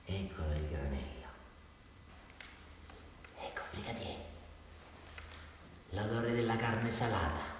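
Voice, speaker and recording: Unhinged southern Italian murderer, echoey hall. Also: male voice, low voice, lively intonation, takes it slowly, long pauses, background noise. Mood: hushed, brooding. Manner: rambling, insistent, taunting, repeats himself. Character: unhinged small-town Southern murderer confessing to a carabinieri brigadier. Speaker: actor in a radio drama. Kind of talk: theatrical radio drama monologue. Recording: echoey hall from far off, faint music.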